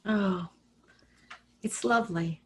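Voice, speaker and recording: A woman acting a gentle, grateful daughter in an old-time radio family play. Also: medium pitch, slow pace, slight background noise. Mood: moved, soft.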